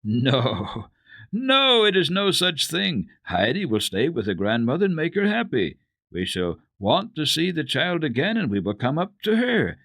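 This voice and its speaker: A man's voice: on the high side, huge pitch swings, a little slow, gravelly, a bit bright, no echo, voice pushed up, full character voice. A man voicing a kindly, devout, well-to-do grandmother in a storybook reading, emphatic and reassuring. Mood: firm reassurance.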